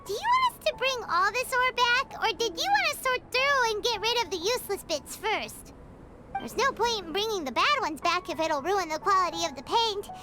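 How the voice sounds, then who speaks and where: A woman voicing a tiny, chirpy female fairy companion in a video game cutscene, excitable and talking about herself in the third person. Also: very high-pitched, normal speed. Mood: chatty. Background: game music.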